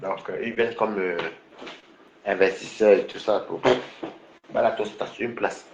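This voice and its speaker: A man's voice: on the low side, fairly even intonation, a little slow, reverberant, a little hiss or hum, poor audio. A man from Cameroon in a casual, rambling personal monologue, full of restarts and fillers. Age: adult.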